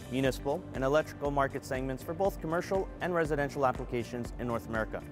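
Man in his forties, product manager, measured scripted delivery, lapel mic, clear music underneath. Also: medium pitch. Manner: measured, earnest, reads from a script. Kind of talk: scripted corporate product presentation. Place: trade show booth and studio backdrop. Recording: clean voice over background music.

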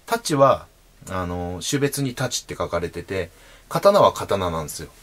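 A man in his thirties hosting his own channel, explaining sword types patiently to camera from a small room, with frequent fillers and pauses as he demonstrates. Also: deep voice, sing-song, a bit bright. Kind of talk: casual explanatory talk to camera. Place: small indoor room at home.

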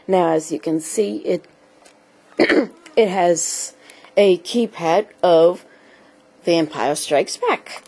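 Woman, slightly low pitch, very slow halting delivery, stuffed with a cold, speech impediment, casual home video narration. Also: dark tone. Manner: halting, choppy phrases. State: has a cold, clearing throat. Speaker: amateur video blogger and hobby writer. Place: small home computer room. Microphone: handheld camera held by the speaker.

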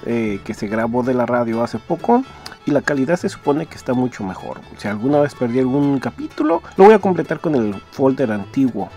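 A man's voice: medium pitch, darkish tone. A man hosting a podcast, chatting casually and rambling as he asks himself questions. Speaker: podcast host introducing radio serial uploads. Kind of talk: casual unscripted chat.

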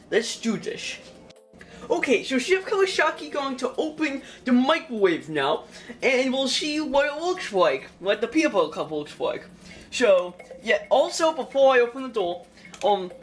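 A young woman casually narrating a plush puppet cooking skit at home, rambling and jokey, correcting herself as she goes. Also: a little high. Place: home kitchen and dining room.

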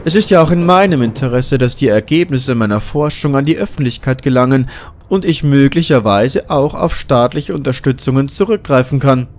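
A man voicing an ageing, slight male scientist who talks in a courteous, flattering way. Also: medium pitch, a little fast, voice pushed up, close to an everyday voice. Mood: smooth, flattering.

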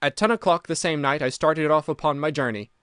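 Broken old ex-soldier, aggrieved and vehement, read by a male narrator; slightly raised pitch, dry booth sound. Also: fairly quick, fairly bright tone, clean tone, no room sound, natural voice. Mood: bitter. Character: bitter, broken older ex-soldier man. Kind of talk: audiobook character reading.

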